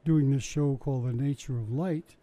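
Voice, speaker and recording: An older man reminisces in a relaxed interview, his voice very dark and mellow. He tells his stories in a chatty, wry way, often restarting mid-sentence and dropping small asides. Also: mid-range voice, moderate pace. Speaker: interviewee recalling his science show work. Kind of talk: casual oral-history storytelling.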